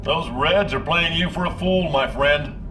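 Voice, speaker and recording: Hawkish American president male actor, brash and folksy, raised pitch, American accent, noisy game cutscene sound. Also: unhurried, far from the mic, lightly acted. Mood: defiant. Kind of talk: acted video game cutscene dialogue. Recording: off-mic, noisy ambience.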